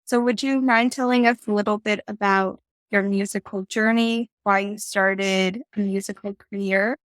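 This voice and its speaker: A woman's voice: medium pitch, steady tone, normal speed, no room sound. A woman hosting an interview podcast, giving introductions and asking prepared questions.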